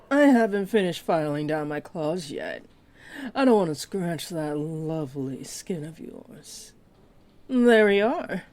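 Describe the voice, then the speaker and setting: Woman acting a slow, teasing dragon-girl librarian. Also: deep voice, darkish tone.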